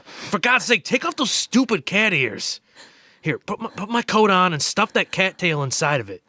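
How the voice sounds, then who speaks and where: A man's voice: high voice, sing-song, normal speed, pushed and bright, no echo, clean studio sound, heavily acted. A man playing an insistent, knowing research biologist in an audio drama, explaining things emphatically. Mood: urgent, reassuring.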